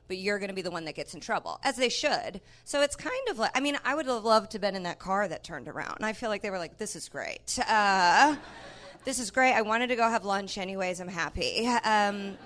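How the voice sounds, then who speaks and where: A woman's voice: mid-range voice, lively intonation, brisk, fairly bright tone. A woman guest on a comedy panel giving casual, sarcastic commentary and acting out mock quotes. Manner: sarcastic, conversational, mock quoting.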